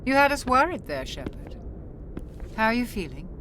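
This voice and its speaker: An actress playing a calm, caring, mature ship's doctor in a video game, composed and clinical in manner. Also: female voice, a little high, normal speed. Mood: calm concern. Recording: clear engine-like ship noise behind.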